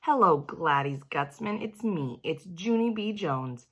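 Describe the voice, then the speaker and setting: A woman voicing an excitable, blunt little kindergarten girl in a storybook, with a gushing, emphatic manner. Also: fairly low pitch, unhurried, husky. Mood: eager greeting. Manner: gushing, emphatic, baby-grammar exclamations.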